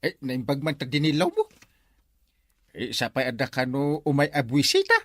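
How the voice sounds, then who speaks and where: A man's voice: mid-range voice, huge pitch swings, moderate pace, slight background noise. A man with an Ilocano accent playing a grumbling, exasperated village captain in a comedy drama. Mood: flustered, indignant. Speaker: lead actor in a comedy drama. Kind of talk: Filipino radio-style comedy drama acting.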